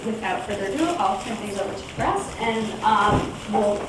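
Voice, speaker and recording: A woman's voice: mid-range voice, normal speed. Woman host in a very echoey, noisy room. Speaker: event host introducing speakers. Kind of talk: informal spoken introduction at a community talk. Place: indoor room at a public talk. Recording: very echoey room, distant mic, loud noise.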